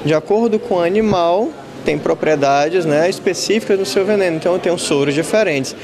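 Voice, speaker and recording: A man in his forties being interviewed by a reporter on a handheld mic, explaining in a measured way in an auditorium. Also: high voice, noisy background. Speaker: lecturer interviewed. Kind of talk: news interview. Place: school auditorium with an audience.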